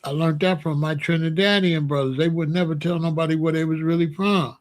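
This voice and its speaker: A man with a somewhat high voice runs his own opinion show. He speaks bluntly in loose street slang and keeps firing rhetorical questions. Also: steady tone, a little fast. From Chicago. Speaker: show host. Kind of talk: casual opinion monologue.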